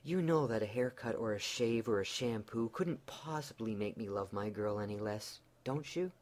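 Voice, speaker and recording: A man's voice: lowish voice, darkish tone, natural voice. A man voicing a dazed, earnest young husband in a storybook tale. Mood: tender. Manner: slow, disbelieving, earnest. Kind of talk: storybook character voice.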